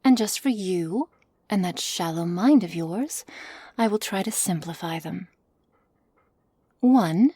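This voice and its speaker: A woman playing a cold, menacing witch experimenter in an ASMR roleplay, speaking in a breathy, measured, condescending way. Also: lowish voice, dark tone, dry recording. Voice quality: breathy, often whispery. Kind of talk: ASMR roleplay, scripted acting to listener.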